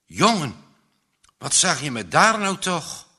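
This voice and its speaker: A man's voice: a little high, big pitch swings, slow pace, sharp tone, full character voice. A man reading a storybook as a startled, concerned mother speaking earnestly. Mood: astonished.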